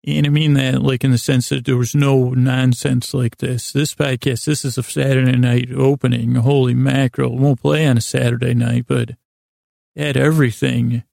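A man hosting a sleep podcast in a creaky, gravelly voice, rambling haltingly and nonsensically with apologetic, self-deprecating asides, recorded in a small closet. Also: medium pitch, level tone, darkish tone, no room sound, studio quality. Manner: halting, drawn-out, meandering delivery with repeated self-interruptions. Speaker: podcast host.

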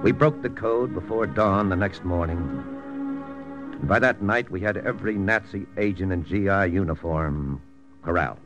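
A man's voice: deep voice, moderate pace, dull audio, music in the background, close to an everyday voice. A man playing a hard-boiled American spy lieutenant, narrating terse in first person in a 1940s radio drama. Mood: somber. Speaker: narrator and lead actor. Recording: old radio recording with hiss.

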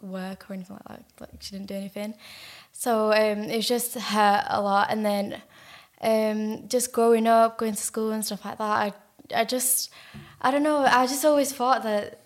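A young woman from Manchester with a Manchester accent, telling her life story as a podcast guest, halting with many fillers and restarts. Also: medium pitch. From Manchester, England. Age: young adult. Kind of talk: casual personal storytelling.

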